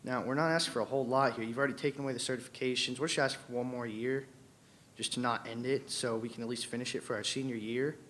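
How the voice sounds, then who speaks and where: A man's voice: medium pitch, fairly even intonation, fast talker, fairly bright tone, a little hiss or hum. A young man in his late teens making a casual, pleading public comment at a meeting. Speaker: student speaker.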